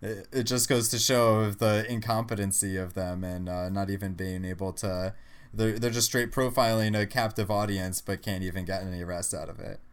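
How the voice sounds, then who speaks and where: A man's voice: lowish voice, steady tone, moderate pace, smooth. A man co-hosting a podcast, giving casual news commentary in a conversational, restarting way. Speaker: podcast co-host.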